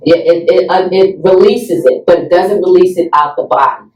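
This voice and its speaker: Lowish-voiced woman explaining, in an echoey room. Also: normal speed, a little mellow. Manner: checks in often with right and okay. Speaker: guest expert in substance use and overdose prevention education. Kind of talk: conversational explanation, instructive. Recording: roomy echo, distant from the mic.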